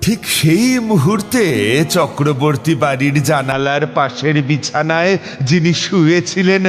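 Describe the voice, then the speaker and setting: A man tells a ghost story slowly and theatrically, in a reverent, solemn tone. His voice sits fairly high, with a long reverb and music underneath. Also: expressive. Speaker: narrator. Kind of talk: dramatic scripted horror-story narration.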